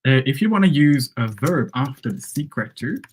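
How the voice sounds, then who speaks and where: A man whose first language is Hungarian, teaching English phrases in a relaxed live lesson and checking in with "right?" and "okay?". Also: medium pitch, normal speed, slightly rough. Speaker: English coach.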